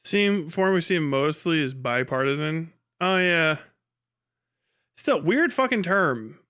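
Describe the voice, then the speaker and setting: A man's voice: a little high, lively intonation, slow pace, sharp tone, clean tone. A man giving casual live-stream commentary in a blunt, rambling, profanity-laden way. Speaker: streamer reacting to videos.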